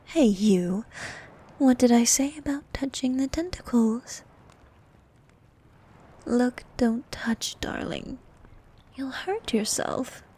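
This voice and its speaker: A woman playing a bossy, haughty ancient sea queen monster in an ASMR roleplay, with a soft, partly whispered voice and regal, condescending manner. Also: a little high, animated, husky, full character voice. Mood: teasing, scolding. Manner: regal, condescending, formal, unhurried.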